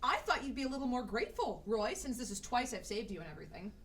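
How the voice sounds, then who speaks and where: A woman acts out a defiant radio-drama heroine guarding a secret. Her bright voice swings widely in pitch, slightly off the mic. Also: sing-song, fast talker. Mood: defensive. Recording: slightly distant mic, noisy ambience.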